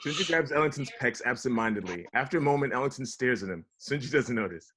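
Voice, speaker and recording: Male narrator, medium pitch, reading stage directions. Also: lively intonation, fast talker, background noise. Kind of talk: scripted narration at a table read.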